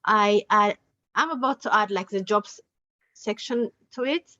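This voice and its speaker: A woman's voice: medium pitch, a little slow, sharp tone. A woman from Ethiopia introducing her directory project on a video call, explaining plainly with fillers. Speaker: webinar participant, website developer. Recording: dull lo-fi video call audio.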